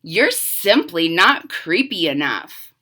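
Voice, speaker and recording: A woman voicing a grumpy young witch girl from a storybook, with drawn-out, exaggerated disdain. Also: lowish voice, big pitch swings, pushed and bright, voice pushed down, full character voice. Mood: stern, reluctant. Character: grumpy young witch girl, wanting something creepy, secretly soft.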